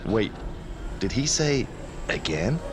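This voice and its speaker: An actor playing a steady, intense wrestler ally with a measured, serious manner in a video game cutscene. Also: male voice, on the low side. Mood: intense encouragement.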